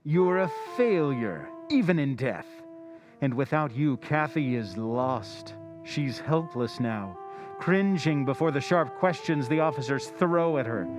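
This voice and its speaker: A man's voice: mid-range voice, lively intonation, close to an everyday voice. An actor playing the Whistler, an ominous male narrator, in a 1940s radio drama recreation. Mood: taunting. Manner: brooding second-person narration, drawn-out taunting phrasing. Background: guitar music.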